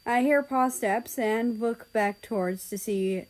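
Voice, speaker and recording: A woman's voice: fairly high pitch, takes it slowly, poor audio. A woman reading a first-person story aloud as scripted narration. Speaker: fan fiction reader.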